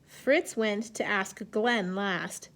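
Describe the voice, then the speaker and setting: A woman's voice: medium pitch, drawn-out words. A woman speaking as an elementary teacher to young children in a read-aloud lesson, instructing in simple phrases and asking questions.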